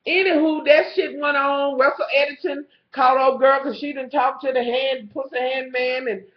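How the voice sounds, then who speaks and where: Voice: sits high, fairly even intonation, moderate pace, roomy sound. A TV show reviewer with a stuffed-up nose, recapping an episode in gossipy, profane storytelling and calling the viewer honey. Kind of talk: casual episode recap vlog. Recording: slightly muffled lo-fi recording.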